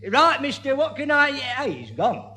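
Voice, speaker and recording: A man's voice: extremely high pitch, huge pitch swings, normal speed, bright, forceful tone, in a large hall, heavily acted. A man playing a flustered, easily riled pub landlord with a northern English accent in a radio sitcom. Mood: harried. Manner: flustered, blustering. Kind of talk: old-time radio sitcom acting.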